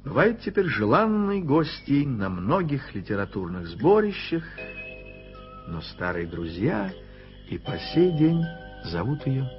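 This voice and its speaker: A man narrating a studio radio play in a measured, expressive storytelling way. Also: medium pitch. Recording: dull old radio play recording with music.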